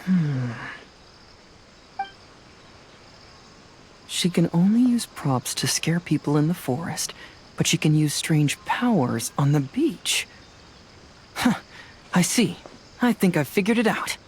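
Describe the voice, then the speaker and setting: An actor playing a composed young detective in a video game, explaining things in a measured, articulate, confident way. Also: male voice, on the high side, expressive, darkish tone, a little hiss or hum, poor audio. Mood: thoughtful, decisive.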